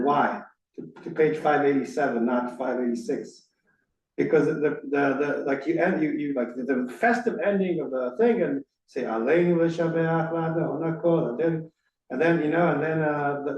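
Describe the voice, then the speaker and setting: A man's voice: on the high side, a little mellow, dull audio. A man from Israel with an Israeli accent, a rabbi teaching his congregation in casual conversation, full of restarts and "right?" checks. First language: Hebrew. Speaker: rabbi leading a study session. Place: synagogue hall. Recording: echoey room, mic at a distance.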